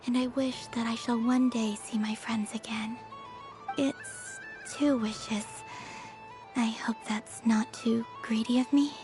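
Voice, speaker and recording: A woman playing a serene, dreamy young maiden in a video game, soft and gentle, matter-of-fact even when teasing. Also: a little high, slow pace, poor audio. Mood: gentle, hopeful. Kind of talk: dramatic video game voice acting. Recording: music behind the voice. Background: music.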